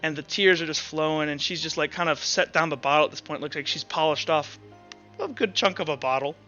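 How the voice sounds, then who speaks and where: A man narrating a tabletop roleplaying game as game master, improvising haltingly as he goes. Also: fairly high pitch, pushed and bright. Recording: dull lo-fi audio under music.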